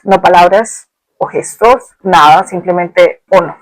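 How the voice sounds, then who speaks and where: A woman's voice: fairly low pitch, measured pace, dry recording, low-quality recording. A woman with a Colombian Spanish accent telling a personal story as a podcast host, in a reflective, conversational and colloquial way.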